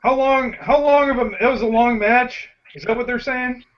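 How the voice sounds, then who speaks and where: A man's voice: extremely high pitch, normal speed. A man hosting a rowdy group video chat, joking and giggling a lot. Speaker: host of the hangout. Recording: dull lo-fi call audio.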